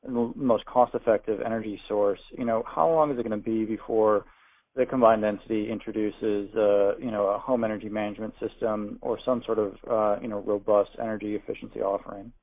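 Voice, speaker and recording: A man's voice: on the low side, steady tone, a little fast. A man asking an unscripted question as an analyst phoning in to an earnings call. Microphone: phone. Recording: muffled phone line.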